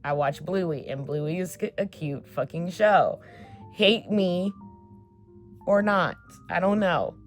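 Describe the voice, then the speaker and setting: Low-voiced, slow-talking young woman vlogger. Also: soft background music. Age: 20s. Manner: chatty, filler-heavy, swears casually. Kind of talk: casual get-to-know-me chat.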